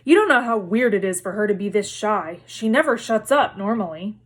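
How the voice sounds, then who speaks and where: A woman's voice: medium pitch, animated, brisk, close to an everyday voice. A woman voicing a casual, slightly self-conscious young wizard boy with an offhand manner in a storybook reading.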